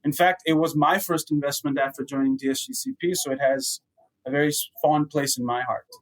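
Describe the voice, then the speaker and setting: A man's voice: fairly high pitch, a little fast, low-quality recording. A man hosting a business podcast as an investor, giving a prepared but conversational introduction. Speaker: podcast host, venture capital investor.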